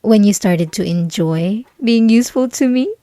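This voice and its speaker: Possessive young female bully for ASMR roleplay, played by a woman: unhurried, mellow, condescending, sweetly menacing, close and dry. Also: a little high, expressive, no room sound. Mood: mocking. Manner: taunting, condescending, teasing, with breathy whispered asides. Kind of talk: ASMR roleplay, intimate scripted monologue to listener.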